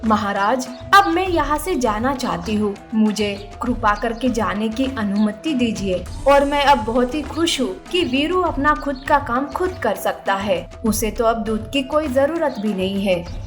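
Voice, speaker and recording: A woman's voice: fairly high pitch, expressive, measured pace, faint music behind. A woman voicing a kind, motherly female camel in a children's fable, speaking politely and earnestly. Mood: contented, polite.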